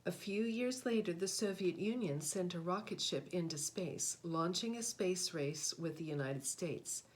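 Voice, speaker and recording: A woman reading a children's picture book aloud, with deliberate, expressive phrasing and short explanatory asides. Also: on the low side, slight background noise. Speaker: read-aloud host, storyteller.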